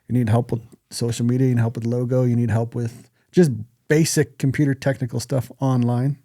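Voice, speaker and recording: A man's voice: mid-range voice, little pitch movement, brisk, dark tone, no room sound, clean studio sound. A man co-hosting a podcast in casual, joking chat with some swearing. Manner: informal, joking, profane. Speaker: podcast co-host.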